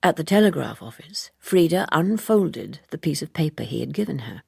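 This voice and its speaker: A woman reading literary narration as an audiobook narrator, measured and precise. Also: low-pitched, very animated, gravelly, warm tone, breathy edge, dry recording, studio quality.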